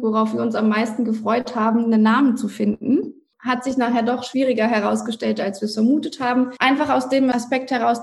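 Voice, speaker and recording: Gravelly-voiced woman in her thirties. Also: fairly high pitch, darkish tone. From Berlin, Germany. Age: 30s. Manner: chatty, explains at length with fillers. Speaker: podcast guest, co-founder. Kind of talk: casual interview talk.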